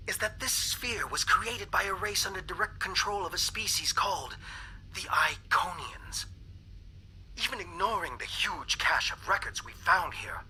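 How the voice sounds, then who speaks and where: An actor playing a wary alien scientist who reaches out in an urgent, earnest, measured message, in game dialogue. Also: male voice, high voice, bright, forceful tone, slight background noise, heavily acted, rough recording. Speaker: Voth archaeologist sending a message.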